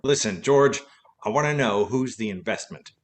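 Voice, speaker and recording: An actor playing a quick-witted, take-charge private detective in a radio play. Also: male voice, lowish voice, close to an everyday voice. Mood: brisk, decisive.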